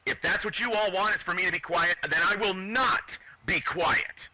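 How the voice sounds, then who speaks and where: A man's voice: high-pitched, moderate pace, over a noisy crowd, poor audio. A man doing wrestling commentary in an arrogant, boastful heel persona.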